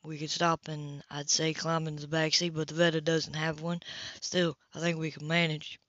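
A dull, dry lo-fi audiobook recording of a cocky man flirting , read by a woman. He is lazily confident and teasing, with a casual, lowered delivery. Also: level tone, normal speed, a bit bright, no room sound. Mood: teasing, seductive.

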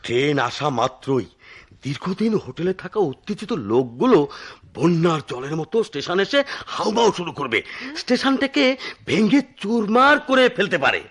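Animated, high-voiced sardonic chatterbox. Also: male voice, normal speed, husky, exaggerated voice. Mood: animated, mock-serious. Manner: exaggerated, mock-reassuring, swooping. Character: excitable, sardonic talkative man explaining absurd railway affairs. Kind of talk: satirical radio drama acting.

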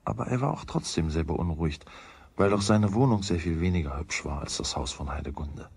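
A man's voice: low-pitched, expressive, brisk, gravelly, darkish tone, breathy edge, full character voice. A man narrating a children's radio play as its scripted storyteller. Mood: measured.

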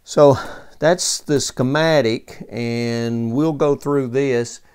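A man giving a casual, unscripted technical walkthrough as a tutorial presenter, halting as he thinks aloud. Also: medium pitch, a little mellow, smooth. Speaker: presenter of vintage radio repair tutorial. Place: indoors at a workbench.

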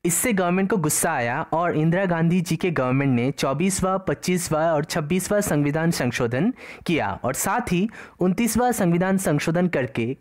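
Lively low-voiced woman narrating a lesson. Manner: explains each term twice, in Hindi and in English. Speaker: exam-prep teacher. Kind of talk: slide-based lecture narration.

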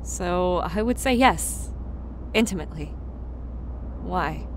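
A woman's voice: on the low side, expressive, slow pace, a little hiss or hum, rough recording. A woman voicing a plucky, stubborn young mare pony in an audiobook drama, earnest and emphatic. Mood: gentle, wry. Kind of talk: audiobook character acting. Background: music.

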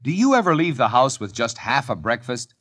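A man's voice: medium pitch, very animated, a little fast, over music. A man reading a 1950s radio commercial in an upbeat, persuasive way. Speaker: commercial announcer.

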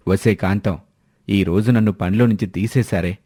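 A man's voice: fairly low pitch, fairly even intonation, normal speed, dark tone, breathy edge, dry recording, studio quality. A man voicing an ambitious village husband in a moral story, reasoning and coaxing. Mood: troubled. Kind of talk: animated moral-story dialogue.

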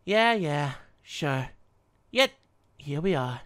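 A woman's voice: low voice, animated, slow pace, fairly bright tone, heavily acted. A woman voicing a sassy, defiant young male spider demon in a cartoon dub, with sarcastic, flippant delivery. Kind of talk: cartoon comic dub acting.

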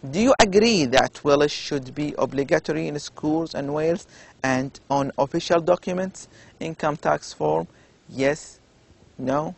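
A male university lecturer with an Arabic accent talks through slides at a very unhurried pace. His voice sits a little high and has a gravelly, creaky texture. He pauses often and fills gaps with hesitations as he explains. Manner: slow, deliberate explaining with many pauses and fillers. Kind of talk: recorded lecture over slides.

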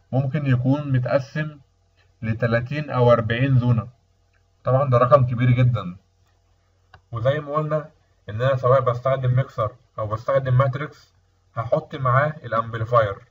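A man's voice: mid-range voice, slightly rough. A man with an Egyptian Arabic accent giving an explanatory technical lecture as an instructor, often asking and answering his own questions. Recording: muffled, distant mic, dull sound.

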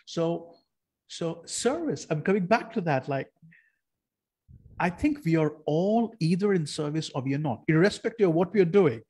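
A man's voice: fairly high pitch, very animated, normal speed, dark tone, husky. A man talking in a reflective, casual conversation.

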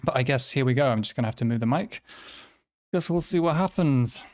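A man from the Nordic region, a native speaker of Swedish or Finnish, talking casually to viewers as a quiz host, halting and self-deprecating as he thinks aloud. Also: mid-range voice, expressive. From Finland or nearby Nordic region.

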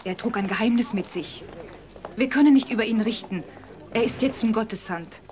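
An actress in a German audio drama playing a loyal young woman who speaks earnestly and gently. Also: fairly high pitch, moderate pace, slight background noise, close to an everyday voice. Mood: admiring.

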